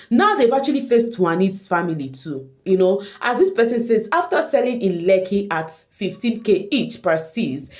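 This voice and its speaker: A woman with a Nigerian accent and Igbo as her first language, giving casual gossip commentary straight to her viewers and slipping in Pidgin slang. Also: fairly low pitch. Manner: animated, addresses viewers directly, mixes in Pidgin slang. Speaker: online reality show commentator.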